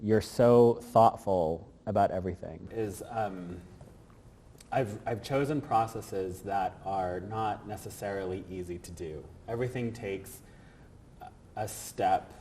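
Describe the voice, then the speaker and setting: A man's voice: on the low side, measured pace, warm tone, in a large hall, slight background noise. A man answering interview questions in a thoughtful, rambling way, with many fillers and restarts, recorded in a gallery. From grew up in Germany, Korea and the United States. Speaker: interviewed artist.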